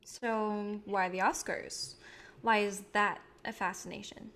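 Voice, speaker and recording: A woman's voice: mid-range voice, flat delivery, slow, a bit bright. A woman in a staged reading, playing a friendly young film director who talks casually and directly. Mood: encouraging.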